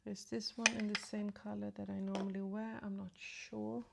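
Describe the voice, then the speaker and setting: Gravelly-voiced woman with a slight cold. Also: mid-range voice, level tone, moderate pace, very noisy. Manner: soft, often half-whispered asides while applying makeup. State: slight cold, sore throat. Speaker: beauty vlogger. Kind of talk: casual makeup tutorial chat. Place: at home in front of a mirror.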